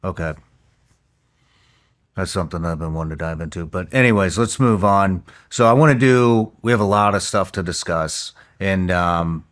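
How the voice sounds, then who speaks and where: A man hosting a podcast interview, asking short, relaxed questions. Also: low-pitched. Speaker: podcast host.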